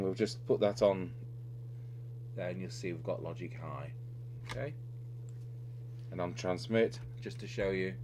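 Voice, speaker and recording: Low-voiced man, hummy noisy recording. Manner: methodical, thinking aloud as he works. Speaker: electronics repair demonstrator, presenter. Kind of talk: unscripted hands-on technical walkthrough. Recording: clearly noisy recording with electrical hum. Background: steady hum and noise.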